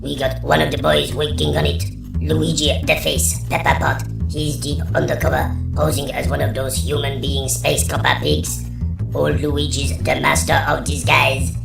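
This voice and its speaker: A woman playing a small low-life robot gangster who narrates in hard-boiled style in an audio comedy. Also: normal speed, gravelly, exaggerated voice. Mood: bossy, scheming. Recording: echoey hall reverb, music behind.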